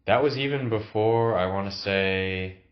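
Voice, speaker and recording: A man's voice: lowish voice, measured pace, dull audio. A man with a cough, recording a casual, reflective vlog in his new apartment, talking hesitantly with many fillers. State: has a cough. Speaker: vlogger.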